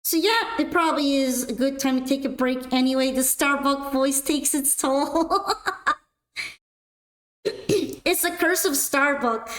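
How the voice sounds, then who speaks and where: A young woman streamer chatting casually while gaming, her voice a little raspy and worn out. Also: sits high, moderate pace, echoey room, poor audio. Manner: chatty, rambling, upbeat sign-off. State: slightly raspy, tired voice. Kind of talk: casual gameplay stream chat.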